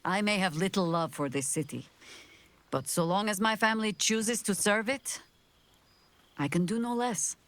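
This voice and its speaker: An actress playing an older, battle-worn woman who leads freedom fighters in a video game, speaking in a measured, dry, gently wry way. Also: mid-range voice, close to an everyday voice. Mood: weary, resolute. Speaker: leader of a secret order. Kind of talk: video game character acting.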